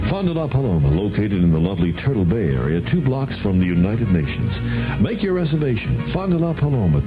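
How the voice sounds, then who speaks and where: A man reading a scripted radio commercial as an announcer. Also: mid-range voice. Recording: tinny, phone-like 1970s radio aircheck. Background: music.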